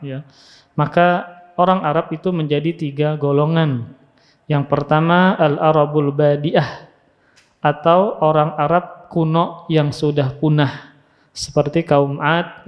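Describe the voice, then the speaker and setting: Male Islamic lecturer, fairly high voice, instructive and repetitive for emphasis, muffled long-reverb hall sound. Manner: didactic, repeats key phrases for emphasis. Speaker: Islamic preacher and teacher. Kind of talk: religious lecture with Arabic quotations.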